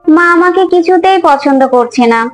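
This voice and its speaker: A woman's voice: very high voice, normal speed. A woman voicing a meek, anxious young daughter-in-law in a cartoon, plaintive and submissive. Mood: worried. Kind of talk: Bengali moral-story cartoon voice acting. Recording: music bed under the voice.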